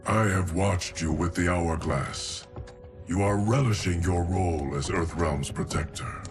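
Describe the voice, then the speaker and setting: An actor playing an ancient male guardian of time, speaking in a solemn, measured, dutiful way. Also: sits low. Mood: grave. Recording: game cutscene with music behind.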